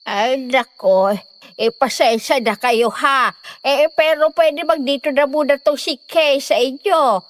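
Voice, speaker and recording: A woman's voice: high voice, slow pace, soft background music. A woman playing a fussy middle-aged village mother in an audio horror drama. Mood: apologetic, hospitable.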